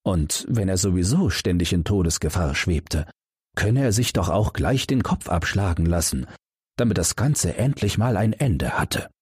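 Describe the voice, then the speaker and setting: A man narrating a bedtime fairy tale in a deep, breathy voice, unhurried and full of melodic rises and falls. He speaks directly to his listeners in a dry, close studio sound. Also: dry recording. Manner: calm, expressive storytelling, addressing listeners directly. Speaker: audiobook narrator.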